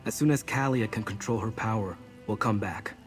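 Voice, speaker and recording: A man playing an earnest, protective mage mentor in a fantasy video game, measured and serious. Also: fairly low pitch, close to an everyday voice. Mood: earnest, resolved. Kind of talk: video game character dialogue. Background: game music.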